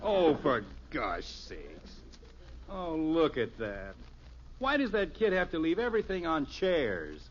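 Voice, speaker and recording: Genial radio sitcom dad, lively pitch swings. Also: male voice, slow, heavily acted. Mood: flustered, exasperated. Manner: folksy, hesitant, dryly sarcastic. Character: genial middle-aged suburban father, easily exasperated. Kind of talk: 1950s radio sitcom acting. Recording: dull old radio recording, faint hiss.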